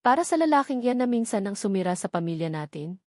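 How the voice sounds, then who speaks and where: A woman's voice: medium pitch, big pitch swings, quick pace, a little mellow, no room sound, studio quality, lightly acted. A woman voicing a furious middle-aged mother who scolds with indignant, accusing questions, in an audio drama.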